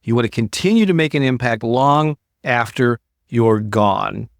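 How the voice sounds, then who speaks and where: A man's voice: mid-range voice, expressive, a little slow, vocal fry, no echo, clean studio sound. Encouraging older man giving a motivational talk. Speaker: host, motivational creativity coach. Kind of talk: motivational talk to a live online audience.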